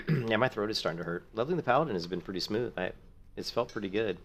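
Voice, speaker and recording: A middle-aged man with a sore throat chats casually with viewers as he streams a game. His voice sits low and deep. He rambles in a friendly way and keeps thanking people. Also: lively intonation, fast talker, a little hiss or hum. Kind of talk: casual chat while gaming.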